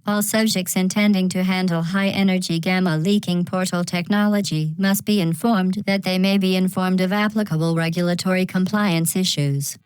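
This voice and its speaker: A woman playing a deadpan, menacing female artificial intelligence in a video game, measured and falsely courteous, with synthetic robot processing. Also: fairly low pitch, smooth, dry recording, poor audio. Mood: coldly polite. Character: polite, coldly menacing female artificial intelligence running deadly tests. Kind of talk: scripted video game announcements.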